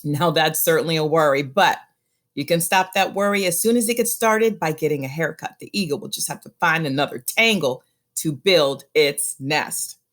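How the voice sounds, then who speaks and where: Low-pitched woman reading a children's picture book. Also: expressive, normal speed, fairly bright tone.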